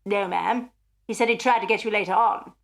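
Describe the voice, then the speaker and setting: A woman's voice: mid-range voice, steady tone, a little fast, creaky, natural voice. A woman playing a polite, deferential housemaid in a radio drama, helpful and eager to please. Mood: helpful, concerned. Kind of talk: radio thriller acting.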